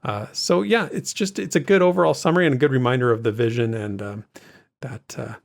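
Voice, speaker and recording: A man with a mid-pitched voice co-hosts a gaming news podcast. He talks casually and explains points in a measured way, often qualifying them with asides. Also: vocal fry. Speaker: co-host of a gaming news recap show.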